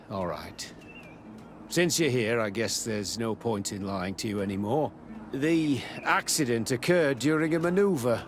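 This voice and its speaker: An actor playing a guarded, commanding military captain in a video game, speaking in a measured, curt and authoritative way. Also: male voice, mid-range voice, slight background noise, close to an everyday voice. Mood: grudging, weary. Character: guarded, commanding male captain running a secret military camp. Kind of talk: video game dialogue acting.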